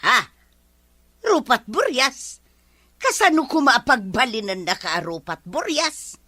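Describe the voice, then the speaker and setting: A woman's voice: a little high, very animated, slow, bright, forceful tone, full character voice. A woman acting a fiery, scolding village wife in an Ilocano comedy drama, with an Ilocano accent. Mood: questioning. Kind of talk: Ilocano radio-style comedy drama dialogue. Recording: faint music behind.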